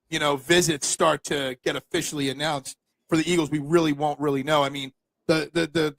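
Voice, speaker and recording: A loud, energetic man hosting a sports podcast, often tripping over repeated words as he pushes his questions. His voice is gravelly with plenty of fry and sits a little high. The recording is dry and close. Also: dry recording, poor audio. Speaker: podcast host. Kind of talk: casual sports podcast chat.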